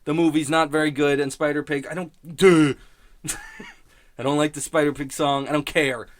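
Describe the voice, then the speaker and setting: A man's voice: a little high, little pitch movement, moderate pace. A man giving a casual, rambling spoken review in a blunt, sweary, grumbling manner. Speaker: host of a TV criticism show.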